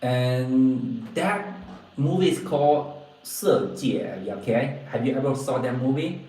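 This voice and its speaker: A man from southern China with a southern Chinese accent, a native Chinese speaker, hosting a casual livestream and replying to viewers, often halting and repeating phrases as he searches for words. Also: medium pitch, lively intonation, mellow. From Guangdong, southern China. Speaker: livestream host. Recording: very echoey room, distant mic.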